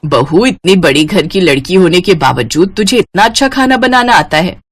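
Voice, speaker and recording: A woman's voice: fairly low pitch, animated, normal speed, husky. A woman voicing a fond, approving middle-aged mother in a family storybook tale.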